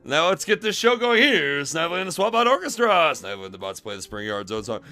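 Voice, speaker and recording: High-voiced man, bright tone, comedic livestream reading, exaggerated delivery, music behind. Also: fast talker. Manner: animated, exaggerated, breaking into disbelieving asides. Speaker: streamer reading fan fiction aloud.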